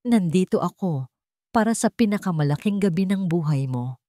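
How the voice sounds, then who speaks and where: A woman narrator voices a betrayed, well-off wife in an audio story. She is composed and calm, with cold resolve. Her voice sits low for a woman and sounds very dry. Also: moderate pace, no room sound, lightly acted. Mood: calm, defiant. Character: betrayed wealthy wife, coldly determined and composed.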